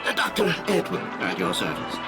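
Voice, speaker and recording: A man's voice: lowish voice, huge pitch swings, a little fast. An actor playing a slick, theatrical dream salesman in a video game, full of eager, showy patter. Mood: showy, persuasive. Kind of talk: video game character acting. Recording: loud music behind the voice.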